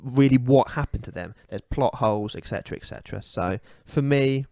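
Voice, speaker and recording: A man with a mid-pitched voice co-hosts a casual podcast. He gives his opinions with emphasis and conviction. The recording is close and dry, with no room echo. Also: a little fast, a little mellow. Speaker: podcast co-host. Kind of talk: casual opinionated podcast chat about pop culture.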